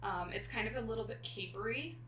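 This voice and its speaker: A woman's voice: fairly high pitch, fairly quick, fairly bright tone, slight background noise. A woman hosting a casual chat about books, speaking informally. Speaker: host.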